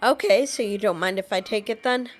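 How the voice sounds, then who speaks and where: A woman's voice: medium pitch, fairly quick, faint music behind. A young woman voicing her game character, asking questions hesitantly with fillers. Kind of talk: casual game voice-acting.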